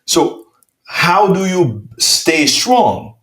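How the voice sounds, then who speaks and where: Slow-talking man from Haiti hosting. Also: sits high, big pitch swings, a bit bright. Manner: probing, slow, circling back with long questions. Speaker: host. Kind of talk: interview questions. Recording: roomy echo.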